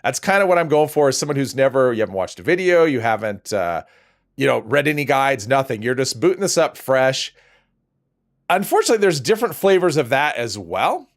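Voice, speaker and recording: A man's voice: mid-range voice, big pitch swings, fairly quick. A man hosting a casual game livestream, greeting viewers by name and chatting as he plays, a little sniffly. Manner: chatty, reads out viewer names, laughs easily. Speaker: game streamer.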